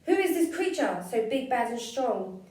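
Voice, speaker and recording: A curious young monster girl, played by a woman, who exclaims in a high, loud voice. The sound carries a strong room echo from a distant mic. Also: big pitch swings, moderate pace. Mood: awed. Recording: echoey room, far from mic.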